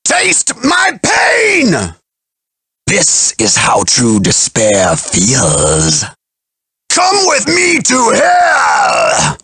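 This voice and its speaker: Evil undead pirate for a fighting game, super raspy, forceful, menacing and theatrical. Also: male voice, high-pitched, huge pitch swings, no echo, clean studio sound, heavily acted. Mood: roaring fury. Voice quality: harsh, raspy, gravelly. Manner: theatrical, menacing, drawn-out delivery. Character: undead male pirate villain, menacing, cruel, soul-hungry. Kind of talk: fighting game battle cries and taunts.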